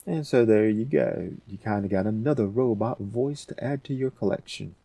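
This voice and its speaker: Man, tutorial host, very deep pitch, casual unscripted delivery, thinking aloud, dry close-mic voice-over. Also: normal speed, gravelly, dark tone, husky, no echo. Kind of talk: casual screen-recorded software tutorial.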